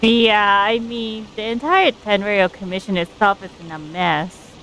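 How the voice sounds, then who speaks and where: A woman giving casual, informal live commentary as a game streamer, speaking haltingly. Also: mid-range voice, fairly bright tone, low-quality recording.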